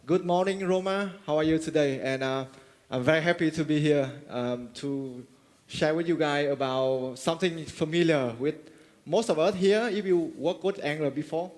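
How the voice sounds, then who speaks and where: A man gives a friendly technical talk from a conference stage. His voice sits a little high, and he stops often on fillers and restarts. Long reverb from the large hall trails each phrase. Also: normal speed, darkish tone. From Vietnam. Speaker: conference speaker.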